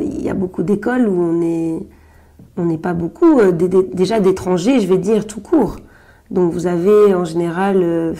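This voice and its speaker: A woman's voice: lowish voice, mellow. A woman being interviewed as a journalist and writer, answering in a hesitant way with many fillers and restarts. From Belgium, Brussels-born Congolese.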